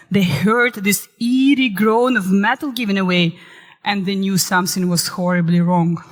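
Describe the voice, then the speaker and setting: A woman, recovering from illness and saving her voice, giving a lively conference talk that shifts into dramatic storytelling. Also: mid-range voice. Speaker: conference speaker. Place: conference hall. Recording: reverberant hall.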